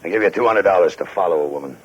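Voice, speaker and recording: Fast-talking, deep-voiced shady client. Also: male voice, breathy edge. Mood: secretive, businesslike. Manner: clipped, businesslike, insistent. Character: terse, secretive man hiring an investigator. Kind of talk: 1940s radio noir acting. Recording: muffled 1940s radio recording.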